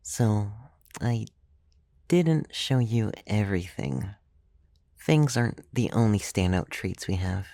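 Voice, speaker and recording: A gentle, teasing old vampire played by a man murmurs in an intimate ASMR roleplay. The voice is mellow and gravelly with fry, unhurried and a little hesitant, recorded very dry and close. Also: on the low side, expressive, no room sound. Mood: coaxing, casual. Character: clumsy, gentle, centuries-old male vampire, teasing and coaxing. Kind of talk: ASMR roleplay, soft-spoken with whispered stretches. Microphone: close, dry microphone.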